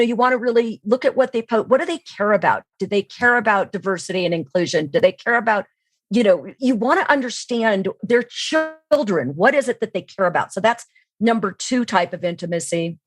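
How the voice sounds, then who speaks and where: A woman talks as a guest expert in a conversational interview. She stresses key words as she lays out her points, in a mid-pitched voice with a dry, close sound. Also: no echo. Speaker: podcast guest, sales trainer and keynote speaker.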